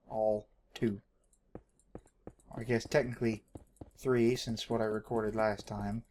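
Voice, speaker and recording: A man's voice: on the low side, little pitch movement, slow pace, darkish tone. A man from Texas with a Texan accent gives casual, rambling gameplay commentary as a video host, jokey and self-deprecating, often talking to himself. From Texas, United States. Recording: home recording with faint background noise.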